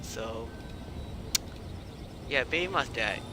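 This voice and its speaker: Man, non-native English, slow and fragmented delivery, casual game streaming, noisy microphone. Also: mid-range voice, expressive. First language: not English. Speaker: video game live streamer. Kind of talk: casual live-stream gameplay commentary. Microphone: microphone without its cover. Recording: windy, noisy uncovered home microphone.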